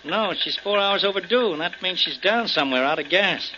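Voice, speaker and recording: An actor playing a brisk, grim, authoritative airport control supervisor in 1940s radio style. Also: male voice, sits high, animated, sharp tone. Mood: grave. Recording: noisy dull old radio recording.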